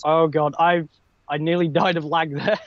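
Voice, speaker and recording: A man's voice: on the high side, level tone, normal speed, dry recording, highs cut off. A young man chatting on voice chat while gaming, joking and easily flustered. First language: not English. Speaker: gamer on voice chat. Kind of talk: casual chat while playing.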